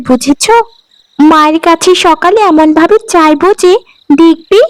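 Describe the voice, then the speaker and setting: A woman's voice: very high-pitched, no room sound, poor audio. A woman voicing a greedy, scheming, bossy young village wife in a cartoon, domineering and wheedling by turns. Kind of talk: cartoon voice acting.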